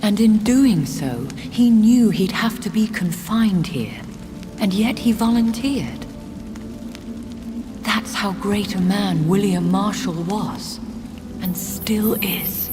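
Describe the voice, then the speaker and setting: A woman acting a refined, sorrowful vampire noblewoman in a video game, speaking in a formal, solemn, measured way. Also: mid-range voice, natural voice. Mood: grave devotion. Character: refined, sorrowful, devoted female vampire noblewoman of great age. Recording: music and rain behind the voice.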